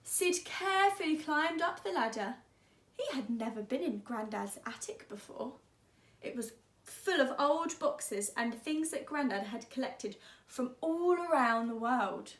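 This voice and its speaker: A woman teacher with a fairly high voice reads to children. She is expressive and deliberate, lingering on words at a slow pace. The room adds a clear echo. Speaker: teacher reading to pupils. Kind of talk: expressive children's story reading.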